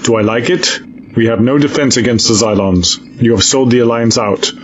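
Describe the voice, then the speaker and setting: A man's voice: medium pitch, moderate pace, lightly acted. Distrustful male military commander, acted by a man, stern, accusing, medium register, slightly dull noisy recording. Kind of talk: audio drama acting.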